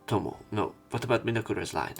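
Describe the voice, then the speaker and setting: A man's voice: on the low side, animated, fast, gravelly. A man reading a visual novel's narration aloud as a let's player, stumbling now and then and repeating words. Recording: music playing behind the voice. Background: game music.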